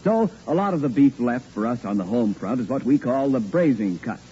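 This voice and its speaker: A man's voice: mid-range voice, expressive, fairly quick, mellow. A man announcing on 1940s radio, reading scripted copy in a folksy, emphatic salesman's way. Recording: dull, noisy vintage radio recording.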